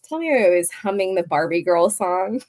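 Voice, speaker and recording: A woman in her early forties co-hosting a casual chat about a film, chatty and quick to laugh. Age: early 40s. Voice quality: higher-pitched voice. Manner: laughs often, chatty and animated. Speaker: podcast co-host.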